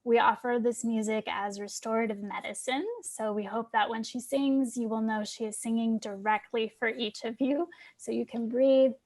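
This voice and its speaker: Higher-voiced woman hosting an event. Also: fairly even intonation, moderate pace, few pauses, darkish tone. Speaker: host. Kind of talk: scripted event introduction.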